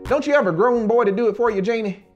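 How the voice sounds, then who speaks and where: A man's voice: very high voice, fast talker, dull audio, faint music behind, pitched above the performer's own voice. A man playing a sober, bossy small-town official who calls out in plain country talk, in a dramatized audiobook. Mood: folksy, businesslike.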